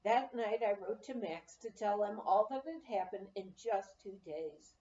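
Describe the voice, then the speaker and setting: A woman reading a memoir aloud as expressive storytelling, acting out the dialogue as she goes. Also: medium pitch, a little slow. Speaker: audiobook reader. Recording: roomy, slightly dull home recording.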